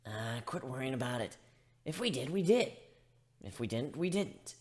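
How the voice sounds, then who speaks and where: A man's voice: mid-range voice, very animated, normal speed, a little mellow, lightly acted. A man voicing a blunt, unbothered female griffin in a story reading. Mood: nonchalant. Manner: casual, dismissive.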